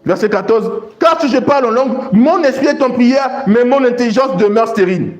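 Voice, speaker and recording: High-pitched male preacher in an echoey room. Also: expressive, brisk, faint music behind. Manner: emphatic, repeats phrases for stress. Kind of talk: sermon with scripture read aloud and explained.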